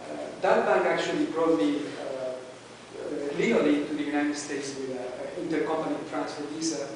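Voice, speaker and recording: A man from Mexico, a native Spanish speaker with a Mexican accent, giving a hesitant personal speech to a club with many pauses and restarts. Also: a little high, a little hiss or hum. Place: meeting room. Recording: echoey room recorded from far off.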